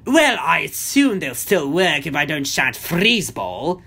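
A woman's voice: deep voice, big pitch swings, slow pace, vocal fry, fairly bright tone, husky, heavily acted. A woman voicing a gleeful evil male wizard in a children's story, with a theatrical, gloating, cackling delivery. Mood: manic excitement.

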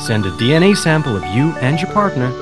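An actor playing a persuasive male pitchman in a futuristic advert within an audio drama. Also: sing-song, quick pace. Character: smooth-selling futuristic commercial pitchman. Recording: loud music bed.

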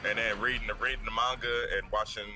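Deep-voiced fast-talking man gamer. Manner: relaxed slangy banter, talks over his friend. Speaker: streamer host. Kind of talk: casual gaming chat with a friend. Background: game music and sounds.

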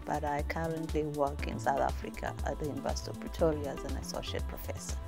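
A woman's voice: sits low, very animated, fast talker, vocal fry, music in the background. A woman in her forties from Rwanda, answering interview questions with occasional fillers. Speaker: associate professor. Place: indoor studio, plain backdrop.

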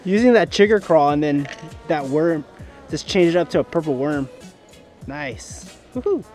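Excited man fishing by a river. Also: on the high side, normal speed, music in the background. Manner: excited, narrates gear while fishing, whoops and laughs. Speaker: fishing vlogger. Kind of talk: casual outdoor fishing vlog. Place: outdoors on rocks by a river. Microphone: head-mounted action camera.

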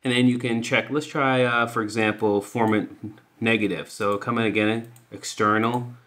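A man hosting a music software tutorial, explaining informally and thinking aloud as he goes. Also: mid-range voice, fairly even intonation, normal speed, very noisy. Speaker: music production tutorial host.

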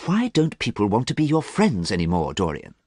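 A man reading as an earnest artist who talks quietly and sadly to a friend he fears for. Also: on the low side, huge pitch swings, a little fast, pitched above the performer's own voice. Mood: pleading. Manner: quiet, pleading, sad. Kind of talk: audiobook character reading.

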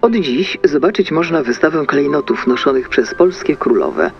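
A brisk newsreader in a children's audiobook, muffled by a radio filter. His polished announcer voice sits fairly high for a man, over faint music. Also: lively intonation. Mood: brisk, formal. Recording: dull radio sound, music behind.

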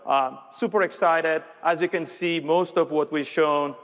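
Guy doing a tech keynote demo, slightly high voice, big echoey hall. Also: steady tone, measured pace, fairly bright tone. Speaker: software executive presenting a product demo. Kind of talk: live keynote presentation. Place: large conference stage with audience. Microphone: lapel mic. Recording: reverberant large hall sound.

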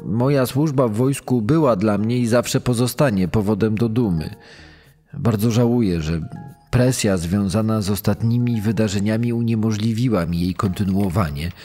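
A man voicing a self-justifying, disgraced British army major in a podcast's acted quotation, formal and measured. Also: lowish voice, mellow, soft background music, natural voice. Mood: regretful, dignified.

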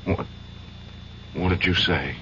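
An American actor playing an earnest, troubled young trial lawyer in a 1970s radio drama. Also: male voice, deep voice, takes it slowly, a bit bright. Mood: uneasy. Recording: dull, hissy 1970s radio recording.

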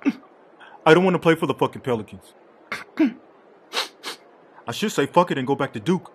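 A man's voice: on the high side, animated, moderate pace, breathy edge. Man as a grumbling young basketball rookie. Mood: disgruntled. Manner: grumbling, profane. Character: sulky young male basketball draft pick. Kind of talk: comedic sports dub.

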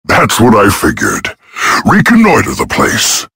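An actor voicing a brisk, businesslike robot officer in a video game, giving matter-of-fact mission briefings. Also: male voice, lowish voice, huge pitch swings, creaky, pushed and bright, husky, no echo, clean studio sound, full character voice. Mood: serious, briefing.

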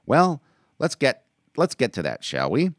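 A man's voice: medium pitch, huge pitch swings, a little slow, no room sound, studio quality, pitched above the performer's own voice. A man voicing a tender, motherly widow who soothes a child in a raised, coaxing voice. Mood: soothing. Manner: soft, coaxing, lifted voice.

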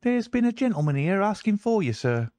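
A man's voice: high-pitched, big pitch swings, a little fast, a little mellow, dry recording, pitched above the performer's own voice. A man voicing a young page boy servant in an audiobook, eager, deferential and chatty. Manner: chatty, servile, working-class turns of phrase. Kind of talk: audiobook character voice.

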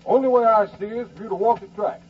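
High-pitched stubborn cop played by a man. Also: fast talker, close to an everyday voice. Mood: stubborn, curt. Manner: blunt, flat refusals, folksy grammar. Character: stubborn, curt Southern policeman, bigoted and unbending. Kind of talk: 1940s radio acting. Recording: muffled, distant, noisy old radio broadcast.

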